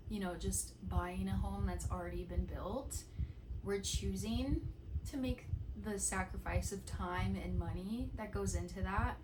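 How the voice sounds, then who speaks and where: A woman in her late twenties speaks softly to the camera from home, working through her thoughts in a gentle, searching way. Her voice sits at a middle pitch, and she often restarts sentences. A steady hum of background noise runs under everything. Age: 20s. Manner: soft-spoken, thoughtful, with many restarts and "like". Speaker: vlogger. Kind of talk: casual reflective chat to camera.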